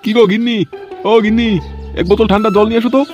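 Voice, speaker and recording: Man as a high-voiced grumbling older village man. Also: level tone, racing, lightly acted. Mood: grumbling, bossy. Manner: bossy, complaining, calling out. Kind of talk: comic cartoon dialogue. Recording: dull lo-fi track with music behind.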